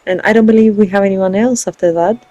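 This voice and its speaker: A woman's voice: medium pitch, steady tone, fast, mellow, dry recording, muffled recording. A woman doing informal let's play commentary, musing aloud expressively as she hosts a gaming video. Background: faint game music.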